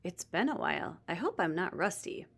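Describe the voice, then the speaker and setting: Woman reading as a kind male mentor, encouraging, playful teacher, lively swooping intonation, storybook. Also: fairly low pitch, moderate pace, slightly rough, full character voice. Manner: encouraging, instructive.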